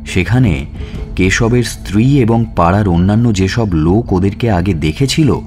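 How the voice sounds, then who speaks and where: Brooding police detective, lowish voice, deliberate questioning delivery, suspense audiobook narration, music underneath. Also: male voice, a little mellow. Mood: grave, recounting. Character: male police detective narrating his murder investigation, pondering.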